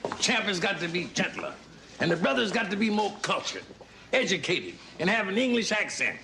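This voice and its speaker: Bossy old sitcom father as self-appointed stage director, high raspy voice, roomy noisy recording. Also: very animated, fairly quick, pushed and bright, full character voice. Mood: bossy, pompous. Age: older. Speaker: amateur play director. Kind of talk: sitcom acting before a studio audience.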